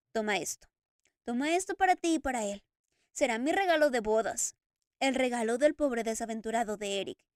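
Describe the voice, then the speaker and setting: A woman's voice: high voice, no echo, clean studio sound. A woman voicing an anguished masked man who talks in impassioned, stammering outbursts between sobs, read as a storybook character. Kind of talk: audiobook character reading.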